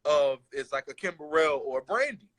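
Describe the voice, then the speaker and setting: A man's voice: a little high, expressive, brisk, fairly bright tone, dry recording. A man hosting a casual interview, upbeat and using casual slang. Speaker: host, interviewer.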